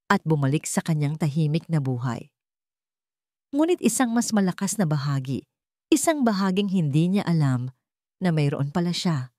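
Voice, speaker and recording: Low-voiced Filipino woman narrating a story. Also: warm tone, no room sound, clean studio sound. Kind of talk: scripted dramatic story narration.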